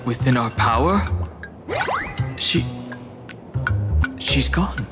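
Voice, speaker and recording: A man acting a mild, concerned young man in a video game, with a halting manner. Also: medium pitch, animated. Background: game music.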